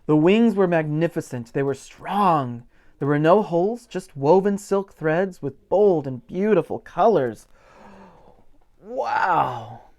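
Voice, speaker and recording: A man reading a picture book aloud as a pastor at storytime, with gentle expression and simple asides for young children. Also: a little high, takes it slowly. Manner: gentle, expressive, stretches key words for emphasis.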